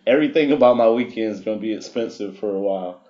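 A man's voice: fairly low pitch, quick pace, warm tone, muffled recording. A man guest on a podcast, telling a story about his weekend in a wry, self-mocking way. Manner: wry, self-mocking, laughs through stories. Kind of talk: casual storytelling.

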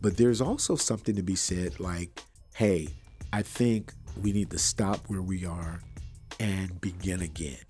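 A man's voice: unhurried, darkish tone, faint music behind. A man hosting a faith-tinged motivational podcast, earnest and preachy, repeating phrases for emphasis, recorded in an untreated room. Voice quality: bassy voice. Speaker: podcast host. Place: untreated room with wires, not acoustically treated.

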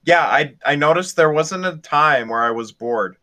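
A man's voice: a little high, expressive, normal speed, pushed and bright. A young man co-hosting a podcast, talking casually in a group review chat. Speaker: podcast co-host.

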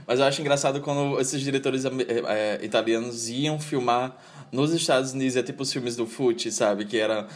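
A man's voice: medium pitch, fairly quick. A Brazilian man co-hosting a podcast, chatting casually about films with many hesitations and filler words. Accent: Brazilian Portuguese. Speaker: podcast co-host. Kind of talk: casual film chat.

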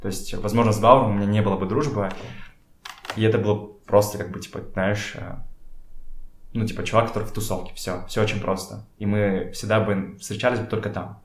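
A young man chatting casually as an interview guest, informal and halting as he searches for words. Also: fairly low pitch, fairly even intonation. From Kazakhstan. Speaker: podcast guest, photographer and art director. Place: indoors in a home. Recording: echoey room.

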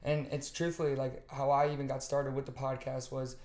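A man's voice: mid-range voice, little pitch movement, fairly quick, darkish tone, reverberant. A man hosting a podcast and talking earnestly in a casual, rambling way. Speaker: podcast host and coach. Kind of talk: casual conversation.

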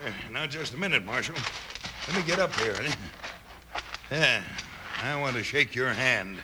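An actor playing a belligerent frontier mine boss with rough, blustering talk in a 1950s western radio drama. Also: male voice, medium pitch, lively intonation, normal speed, close to an everyday voice. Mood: friendly, uneasy. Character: belligerent, bullying western mine boss who turns falsely friendly. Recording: noisy old-time radio recording.

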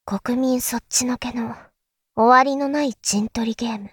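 A woman playing a calm, enigmatic elder sister in an audio drama, speaking in a deliberate, philosophical, teacherly way. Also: a little high, breathy edge, dry recording, studio quality. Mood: grave. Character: calm, enigmatic elder sister musing darkly about world affairs. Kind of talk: Japanese audio drama acting.

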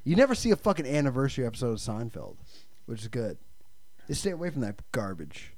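A man's voice: medium pitch, big pitch swings, quick pace, darkish tone, no echo. A young man co-hosting a casual comedy chat who keeps riffing into goofy bits. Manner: goofy, riffs into impressions. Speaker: podcast co-host.

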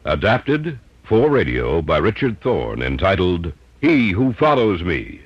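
An actor playing a solemn, sinister host of a supernatural anthology in grandiose 1950s radio style. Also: male voice, sits low, huge pitch swings, slow pace, very noisy, exaggerated voice. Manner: theatrical, grandiose, portentous. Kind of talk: 1950s radio horror announcing. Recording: muffled 1950s radio, cavernous echo, music.

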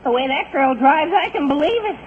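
High, gawky wisecracking teen boy, laid-back, old radio sitcom, scratchy narrow broadcast. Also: animated, a little fast. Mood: droll. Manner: gawky, dryly wisecracking, folksy slang. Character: droll, laid-back teenage boy sidekick. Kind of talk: 1940s radio comedy acting. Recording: noisy, scratchy, phone-thin 1940s radio broadcast.

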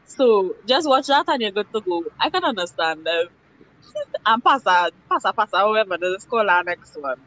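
A woman's voice: medium pitch, sing-song, normal speed, a bit bright, rough recording. Blunt woman with laughing asides. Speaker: guest. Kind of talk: casual chat.

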